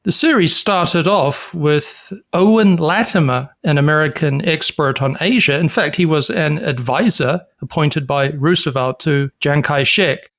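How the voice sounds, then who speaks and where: Podcast cohost guy, bit high voice, calm explaining. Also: creaky. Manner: measured, informative. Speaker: podcast co-host. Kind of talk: casual chat.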